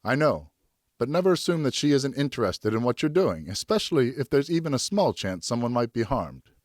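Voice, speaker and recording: A man playing a firm but loving father who speaks in a stern, measured way in an audiobook. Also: mid-range voice, sing-song, dry recording, studio quality, voice pushed up, close to an everyday voice. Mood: patient.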